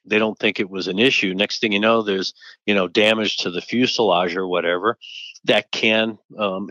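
Emphatic man with a bright, forceful voice. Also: fairly low pitch, dry recording, dull audio. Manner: emphatic, rising to indignant rhetorical questions. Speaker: podcast co-host, aviation safety investigator. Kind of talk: conversational podcast discussion.

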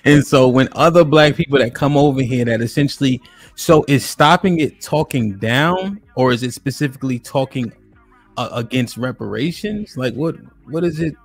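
A man's voice: mid-range voice, normal speed. A man hosting a live stream talk show from home into a studio mic, asking questions and prompting his guest. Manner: questioning, short acknowledgements. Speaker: host. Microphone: studio mic on a boom.

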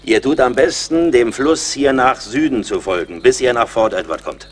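An actor playing a seasoned, blunt and mocking frontier scout in a radio play, with a wry, commanding manner. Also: male voice, medium pitch, normal speed, slight background noise, close to an everyday voice. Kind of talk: German radio play acting.

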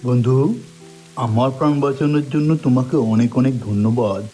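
A man's voice: mid-range voice, normal speed, dark tone, soft background music, natural voice. A man voicing a cursed prince turned tiger, an earnest and grateful friend, in a formal cartoon storybook manner. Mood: grateful, solemn.

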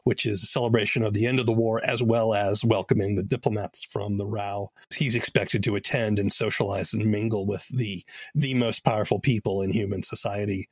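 Gravelly, husky male podcast host. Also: lowish voice, no echo. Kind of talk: conversational interview with recaps and questions.

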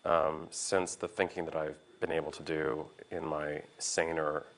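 Male, extremely low voice. Reflective interviewee, halting delivery, carefully searching for words. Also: little pitch movement, a bit bright, slight background noise. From United States. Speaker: art historian being interviewed. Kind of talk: reflective conversation.

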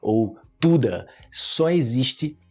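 A Brazilian man in his thirties with a Brazilian Portuguese accent, teaching a lively language lesson to camera and acting out example sentences. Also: extremely slow, breathy edge, faint music behind. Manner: animated, gestures a lot, acts out example sentences in a higher voice. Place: home studio with monitors and pink lighting.

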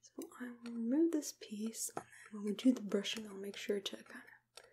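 A young woman whispering gently and soothingly close to the mic, in an ASMR roleplay as a dentist. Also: medium pitch, normal speed, a little hiss or hum. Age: young adult. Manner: soothing, gentle, hesitant murmured phrasing. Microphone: close to the microphone.

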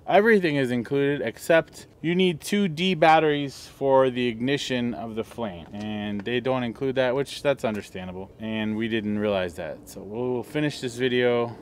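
A man's voice: medium pitch, normal speed, hardly any pauses, a little hiss or hum. A middle-aged man casually walking through a product setup step by step, outdoors in the woods. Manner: easygoing, explains step by step while handling gear. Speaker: camping vlogger. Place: outdoors in sunny woods by a vehicle.

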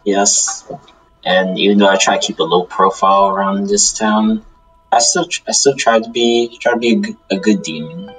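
A man's voice: on the low side, normal speed, a bit bright, breathy edge, rough recording. A man playing an insecure, earnest good demon in an improvised game roleplay, hesitant and often repeating himself. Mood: worried, earnest. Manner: hesitant, stammering, repeats phrases. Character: insecure, earnest good demon shunning his evil kind. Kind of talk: improvised Minecraft anime roleplay. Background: music.

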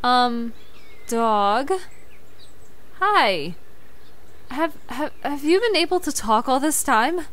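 Woman as a curious, high-voiced young explorer. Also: lively intonation, takes it slowly, pauses often, a bit bright, heavily acted. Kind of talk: audio drama acting. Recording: music behind the voice.

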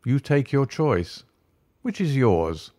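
Man playing a calm commanding detective. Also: mid-range voice, no echo, studio quality, voice pushed up. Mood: measured. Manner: measured, commanding. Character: calm incisive male detective.

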